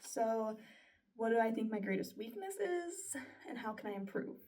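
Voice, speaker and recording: A woman's voice: fairly high pitch, sing-song, moderate pace, dark tone, distant mic. A young woman, a broadcasting student, reflecting aloud on her own work in an earnest, chatty way with plenty of likes and sos. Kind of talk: casual spoken self-reflection.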